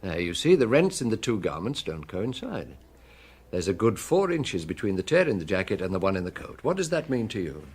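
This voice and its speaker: An actor playing a seasoned, methodical police chief inspector in a radio drama, deliberate and authoritative in his questioning. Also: fairly low pitch, big pitch swings, breathy edge. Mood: businesslike.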